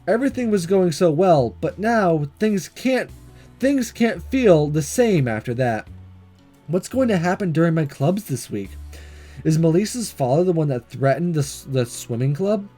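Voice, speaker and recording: Guy reading a visual novel out loud, higher voice, really lively, music behind. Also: normal speed. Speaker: gaming video host reading a visual novel aloud. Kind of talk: animated read-aloud narration with casual commentary.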